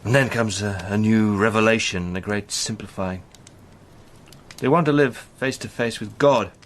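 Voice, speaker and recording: An actor playing an earnest, impassioned British gentleman spy with a literary turn of speech in a radio drama. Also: on the low side, normal speed, lightly acted. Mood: intense, awed. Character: Sandy, fervent eloquent British gentleman spy.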